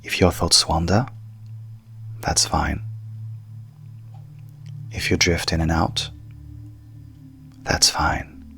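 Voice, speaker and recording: A man speaking as a hypnotherapist in a calm, hushed, soothing manner, softly guiding a sleep meditation with long pauses. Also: low voice, gravelly, faint music behind. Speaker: coach and hypnotherapist guiding a session.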